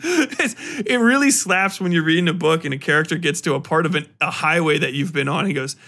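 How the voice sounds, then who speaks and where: A man talking casually as a podcast host reviewing books, slangy and full of swearing, animated and given to acting out mock exchanges. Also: on the high side, fairly quick. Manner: profane, slangy, informal. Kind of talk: casual rambling book review talk.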